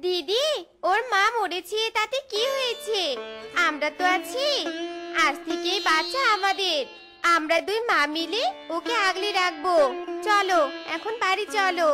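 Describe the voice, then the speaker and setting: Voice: extremely high pitch, measured pace. A performer voicing a tender, plaintive cartoon fox wife who longs to be a mother. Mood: motherly. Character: tender, childless fox wife turned doting adoptive mother. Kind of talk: cartoon character acting. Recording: loud music behind the voice.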